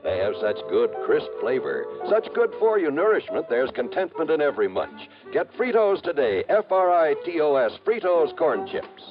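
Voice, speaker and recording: Man, American announcer, lively swooping intonation, fairly high voice, muffled vintage radio, music underneath. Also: moderate pace, hardly any pauses. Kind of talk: 1950s radio commercial announcing.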